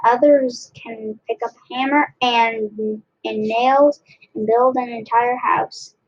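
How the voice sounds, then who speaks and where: A woman reading a children's book aloud expressively, in a deliberate way with dramatic pauses. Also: a little high, fairly even intonation, muffled recording. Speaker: read-aloud narrator.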